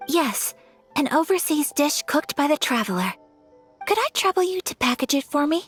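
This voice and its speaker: An actress voicing a polite, earnest young noblewoman in a video game, speaking formally and gently. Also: high-pitched, very animated, normal speed, soft background music.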